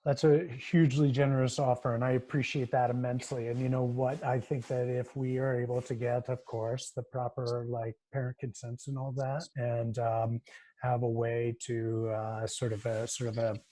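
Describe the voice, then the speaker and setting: A man's voice: mid-range voice, little pitch movement, moderate pace, dark tone, rough recording. A man moderating a video call discussion, enthusiastic and encouraging.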